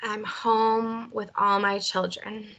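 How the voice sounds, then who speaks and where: A woman with a bright, gravelly voice gently guiding a tapping exercise. She speaks encouragingly, giving short phrases for others to repeat. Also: mid-range voice, flat delivery, slow, muffled recording. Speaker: tapping session leader. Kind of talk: guided emotional freedom technique session.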